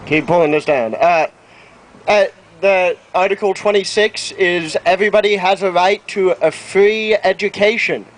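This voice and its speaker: A young man with a slightly high voice speaks informally to the public outdoors. His delivery is halting as he searches for words. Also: slight background noise, rough recording. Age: young adult. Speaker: student activist speaker. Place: outdoor town plaza.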